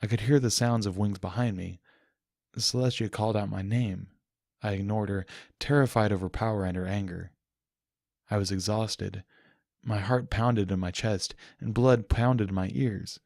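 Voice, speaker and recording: A man's voice: lowish voice, fairly even intonation, normal speed, creaky, a little mellow, no echo, clean studio sound. A man narrating a first-person story as a dramatic audiobook reading.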